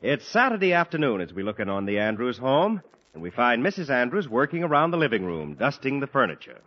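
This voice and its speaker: A male radio announcer with a bright, emphatic showman delivery, introducing a 1940s comedy. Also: mid-range voice, very animated, fairly quick. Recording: dull old radio broadcast with loud music and audience.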